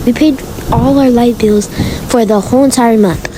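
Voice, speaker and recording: A woman's voice: on the high side, normal speed, noisy background, close to an everyday voice. A woman playing a harried young mother, bossy but soothing, in an amateur family roleplay. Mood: reassuring.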